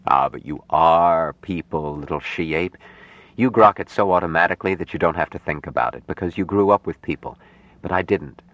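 A man voicing an earnest, tender young man raised apart from humans, speaking slowly and formally in an audiobook story. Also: sits low, fairly bright tone, no echo, dull audio. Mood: earnest, reflective. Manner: slow, deliberate, formal phrasing.

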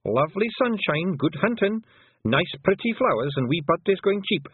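Boisterous Scottish pixie chief, squeaky and loud, voiced by a man, tinny storybook sound. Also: sing-song, normal speed, breathy edge, dry recording, exaggerated voice. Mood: cheerful. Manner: cheerful, boisterous. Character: cheerful tiny male pixie warrior chief.

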